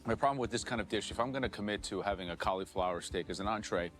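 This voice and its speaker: A man judging a cooking dish, frank and quick with his opinions. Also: fairly low pitch, a bit bright. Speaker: cooking show judge. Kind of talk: judging remarks.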